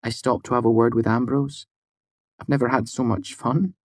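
A man voicing a playful, sharp-tongued young woman in an audiobook, with a huffy, theatrical, teasing manner. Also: mid-range voice, steady tone, moderate pace, no echo, clean studio sound, voice pushed up, natural voice.

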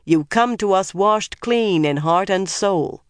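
A woman's voice: mid-range voice, slow, clear, resonant tone, no room sound, clean studio sound, voice pushed up, close to an everyday voice. A woman narrator voicing a stern priestess in an audiobook, intoning formally and ceremonially. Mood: solemn.

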